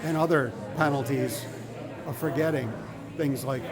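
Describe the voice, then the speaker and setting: A man hosting a live-streamed show, a little hoarse, chatting casually in a rambling, joking way with halting restarts. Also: mid-range voice, fairly even intonation, a crowd in the background. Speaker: show host. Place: large indoor hall with a crowd.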